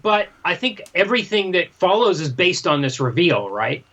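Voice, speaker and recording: A man's voice: high voice, very animated, moderate pace, a bit bright. A man co-hosting a casual book discussion, hesitant and often repeating himself, on slightly dull lo-fi audio. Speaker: podcast co-host.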